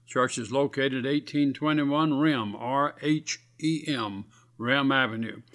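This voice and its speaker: A man's voice: mid-range voice, takes it slowly, fairly bright tone. Male radio preacher, scratchy voice, folksy and earnest. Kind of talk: radio sermon.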